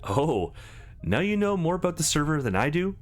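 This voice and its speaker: A man's voice: a little high, sing-song, normal speed, pitched above the performer's own voice. A man voicing an easygoing, wry young man on a date in a visual novel, casual and joking.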